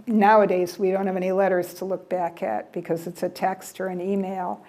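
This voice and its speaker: A woman's voice: lowish voice, flat delivery, normal speed, mellow. An older American woman giving a casual travel talk to a library audience, chatty, repeating words and trailing off with "yeah". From United States. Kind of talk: casual presentation with audience questions. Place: library event room.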